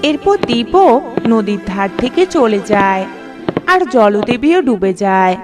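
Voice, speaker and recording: Female cartoon story narrator, high voice, very animated intonation, clear music bed. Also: slow.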